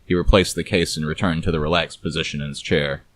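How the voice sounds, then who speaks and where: Deep-voiced man narrating noir. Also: steady tone, fast talker, fairly bright tone, faint music behind. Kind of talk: scripted noir storytelling.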